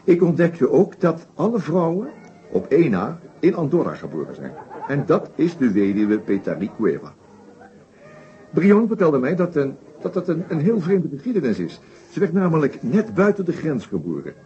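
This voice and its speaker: Lively, deliberate detective, dull recording. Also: male voice, fairly high pitch. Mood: measured, thoughtful. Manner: explanatory, deliberate, with small hesitations and tags. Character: shrewd, methodical male detective inspector summing up a murder case. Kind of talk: Dutch radio play acting. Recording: dull old radio play recording.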